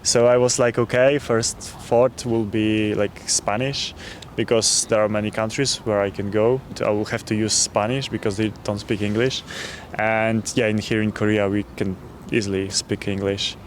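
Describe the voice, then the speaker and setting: Polish-accented male tourist, halting answers, street recording with light traffic. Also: lowish voice, level tone. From Poland. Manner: hesitant, many fillers. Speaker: interviewee, tourist. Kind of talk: street interview. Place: city street outdoors.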